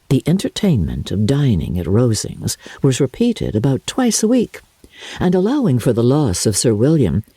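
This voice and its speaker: An older woman narrates a classic novel in a deep, mellow register with a husky grain. Her delivery is theatrical and measured, swooping widely up and down as she shapes each phrase. The sound is close and dry. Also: no echo. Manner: theatrical, measured, expressive storytelling. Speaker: audiobook narrator. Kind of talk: dramatic literary narration.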